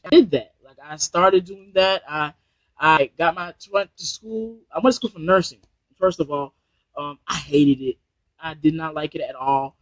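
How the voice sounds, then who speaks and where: Man, high voice, New Jersey, casual live stream, rambling and repetitive, motivational, dry close sound. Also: darkish tone, no echo, highs cut off. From New Jersey, USA. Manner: rambling, repeats and restarts phrases, motivational. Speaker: online business trainer. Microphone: Bluetooth headset.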